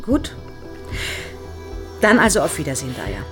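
An actress playing a formal, polite research psychologist in an audio drama. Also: female voice, sits low, sing-song, moderate pace, over music. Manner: formal, courteous. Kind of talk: naturalistic audio drama acting.

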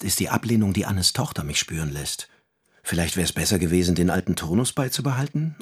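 Deep, very raspy resentful lover. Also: male voice, full character voice. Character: resentful, self-pitying lover of a colleague's wife. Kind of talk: first-person radio drama monologue.

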